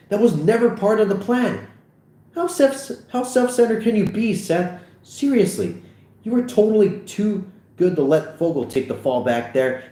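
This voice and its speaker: A man's voice: on the high side, warm tone, pitched above the performer's own voice. A man voicing a fed-up, sarcastic teenage boy with an indignant, cutting delivery. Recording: echoey room.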